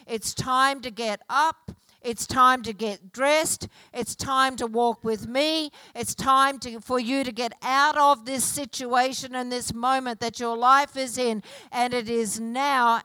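A woman preaching a sermon to a congregation, slow and emphatic, building her points through repeated phrases. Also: a little high, a bit bright, no room sound, low-quality recording. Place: church hall.

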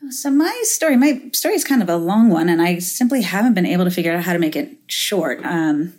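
A woman's voice: on the low side, big pitch swings, a little fast, darkish tone. A middle-aged woman from Finland, a venture capitalist guest in an interview, talking thoughtfully with many fillers and restarts. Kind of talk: interview conversation.

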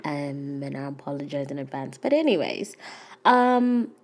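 A woman's voice: low-pitched, animated, moderate pace. A young woman with a cold and a nasal, congested voice, hosting her own podcast as a chatty, earnest solo talk with lots of fillers. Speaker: podcast host. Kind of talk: casual solo podcast chat.

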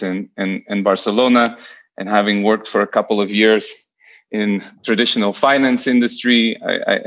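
A man's voice: fairly low pitch, fairly bright tone, lo-fi sound. A man from Portugal in his thirties, a venture investor telling his career story in a podcast interview, hesitant with many repeated starts.

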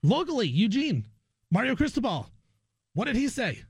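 A man with a fairly high voice hosts sports talk radio. He argues his opinions emphatically and hammers points home by repeating them. The sound is close and dry. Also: big pitch swings, moderate pace, slightly rough, no room sound. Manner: emphatic, argumentative, repeats phrases for effect.

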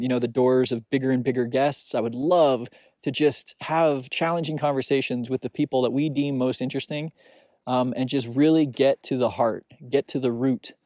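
A man's voice: medium pitch, warm tone, no echo. A man hosting his own podcast, talking through his thoughts in a reflective solo monologue with a soothing voice, often stopping to restart, recorded outdoors on a mountain hike. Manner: halting, searching, with many restarts and fillers. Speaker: podcast host.

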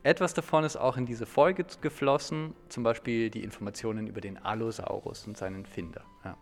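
A man's voice: mid-range voice, fairly even intonation, a little fast, big-room sound. An Austrian man co-hosting a podcast, talking through a book review in a thoughtful, slightly hesitant conversational way.

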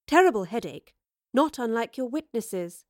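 A determined, wry gentlewoman from a storybook, voiced by a woman narrator. Her voice is fairly high and closely recorded, with brisk, understated scorn. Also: expressive, no echo, clean studio sound, natural voice. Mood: wry, defiant.

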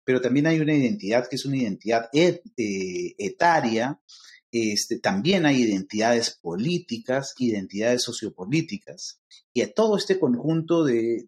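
A man with a Peruvian accent, a guest lecturer explaining ideas thoughtfully in a podcast interview, often pausing on fillers. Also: medium pitch, darkish tone. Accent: Peruvian Spanish. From Peru.

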